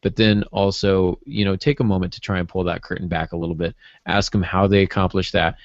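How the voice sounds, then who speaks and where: Male co-host, very low register, reflective conversational delivery, no room sound, dull lo-fi capture. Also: flat delivery, brisk, no echo. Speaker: podcast co-host, writer. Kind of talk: casual chat, giving writing advice. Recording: dull, dry lo-fi podcast audio.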